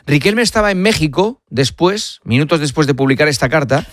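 A man hosting a late-night radio sports show, talking conversationally and pausing on fillers. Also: a little high, expressive, slight background noise. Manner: conversational, hesitant fillers between points. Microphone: studio microphone.

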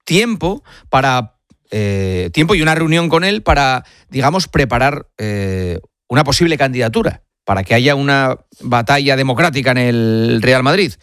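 A man hosting a late-night radio sports show, talking conversationally and pausing on fillers. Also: medium pitch, lively intonation, a bit bright, no echo, studio quality. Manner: conversational, hesitant fillers between points. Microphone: studio microphone.

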